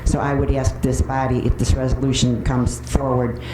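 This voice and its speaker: A woman's voice: extremely low pitch, flat delivery, moderate pace. A woman giving a prepared public comment at a meeting, speaking in an earnest, pointed way. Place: indoor public meeting room. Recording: roomy, noisy, distant microphone with clicks.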